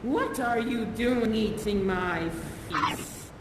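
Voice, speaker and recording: A woman playing a vengeful harpy spirit who declaims slowly and scolds grandly. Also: fairly high pitch. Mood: declaiming. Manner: slow, declaiming, then breezy chatty asides. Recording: echoey hall, heard from afar, noisy.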